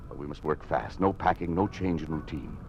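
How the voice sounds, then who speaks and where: An actor playing a grim, secretive governor in an old-time radio drama, speaking in a terse, commanding way over a noisy old recording. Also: male voice, very deep voice, a little fast, dull audio, music in the background. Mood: urgent, secretive. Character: grim, secretive governor plotting his escape.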